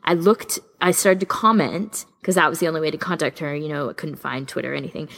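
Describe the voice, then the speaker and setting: A woman's voice: deep voice, quick pace, creaky, fairly bright tone. A woman co-hosting a casual podcast, chatting enthusiastically like a keen fan. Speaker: podcast co-host.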